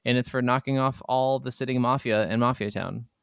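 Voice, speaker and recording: Clear-voiced man chatting casually, dry sound. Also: mid-range voice, fairly even intonation, fairly quick, dry recording. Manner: informal, swears casually. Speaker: gaming podcast co-host. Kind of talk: casual chat about video games.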